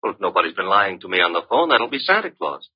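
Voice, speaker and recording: An actor playing a breezy, wisecracking detective's assistant in an old radio drama, teasing and flippant. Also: male voice, low-pitched, big pitch swings, fast talker, breathy edge, full character voice. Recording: muffled vintage radio drama recording.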